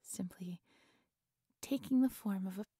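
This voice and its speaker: Breathy, obsessively devoted cultist woman, acted out. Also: medium pitch, a little slow, no echo, clean studio sound. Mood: devoted, hushed. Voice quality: soft, breathy, often dropping into whispers. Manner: gushing, reverent, theatrical. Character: giddy, devoted young female cultist, obsessively worshipping her captive. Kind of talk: intimate audio roleplay monologue.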